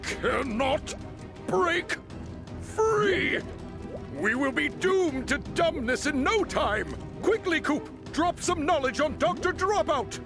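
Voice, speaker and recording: A man playing a pompous, excitable superhero mentor in a comedy cartoon game, grandiose and declamatory. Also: very high-pitched, slow, creaky, music in the background, heavily acted. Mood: urgent. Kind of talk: animated comedy game acting.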